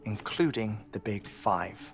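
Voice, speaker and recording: A man acting as an erudite, eccentric scientist in a game cutscene, explaining theories deliberately with thoughtful pauses. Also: fairly low pitch, expressive, loud music behind the voice. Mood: pensive, absorbed.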